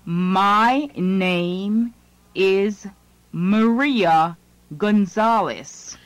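A woman's voice: mid-range voice, no room sound. A woman reading English lines in a scripted language lesson, phrase by phrase. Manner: slow, deliberate, phrase by phrase.

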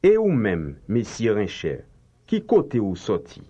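A man gives careful, deliberate translations for learners to repeat. His pitch moves around a lot and he takes his time over every word. The recording sounds dull and lo-fi, like an old tape. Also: wildly swooping pitch, dark tone, husky. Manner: slow, carefully enunciated translations. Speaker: language teacher. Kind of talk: slow repeat-after-me language drill.

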